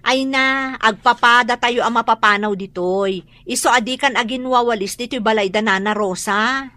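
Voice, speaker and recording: Bright, high-voiced gossipy Filipina neighbour. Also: female voice, normal speed. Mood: taunting, scornful. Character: gossipy, sharp-tongued woman neighbour. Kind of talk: radio drama acting.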